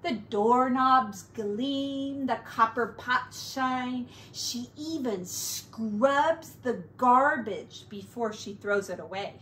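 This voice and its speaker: A woman teacher speaks to young children in a high voice at an unhurried, very slow pace. Her delivery is expressive and sing-song, with stretched words, and there is slight noise in the background. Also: poor audio. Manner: expressive, drawn-out words, hushed asides.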